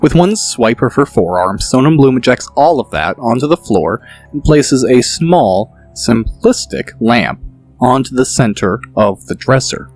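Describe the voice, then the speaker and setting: A man narrates a fantasy audiobook in a steady, mid-pitched voice. He lays out each scene calmly and in detail. Faint music runs underneath. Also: a little slow. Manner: steady, descriptive storytelling.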